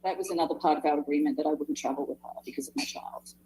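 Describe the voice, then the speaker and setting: A woman's voice: deep voice, rapid-fire, dark tone, breathy edge, poor audio. An Australian woman testifying in court, curt and exasperated as she lists her duties. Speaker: trial witness.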